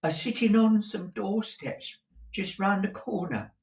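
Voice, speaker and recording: A man voicing a nervous, lowly male clerk with a stuffy cold who stammers and hesitates. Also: measured pace, gravelly. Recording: roomy audio from a distant mic.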